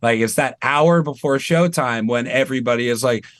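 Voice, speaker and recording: A man's voice: medium pitch, moderate pace, dry recording, studio quality. A man from Maine, a tribute performer talking casually and animatedly as an interview guest over a video call. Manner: chatty, animated, self-deprecating.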